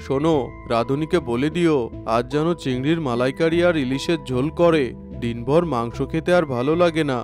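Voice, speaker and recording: A man's voice: medium pitch, normal speed, a little mellow, faint music behind, natural voice. A man voicing an imperious, greedy village landlord in a cartoon, with a bossy manner. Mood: commanding. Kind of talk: cartoon dubbing.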